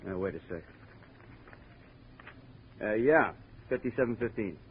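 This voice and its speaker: A man's voice: on the low side, expressive, measured pace, slightly rough, full character voice. A man acting a casual, world-weary police lieutenant in a 1950s radio drama, talking in an offhand, slangy way. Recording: muffled, faintly noisy vintage radio recording.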